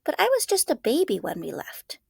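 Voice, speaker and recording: A woman's voice: high-pitched, wildly swooping pitch, brisk, vocal fry, darkish tone, dry recording, studio quality, pitched above the performer's own voice, heavily acted. A woman voicing an earnest, curious young schoolgirl in a storybook, eager and questioning.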